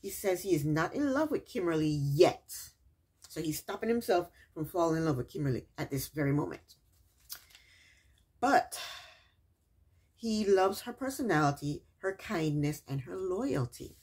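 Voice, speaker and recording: A woman's voice: lowish voice, sing-song, moderate pace, darkish tone, husky. A woman recapping a reality TV episode in a casual, chatty way, with sarcastic asides and repeated punchlines.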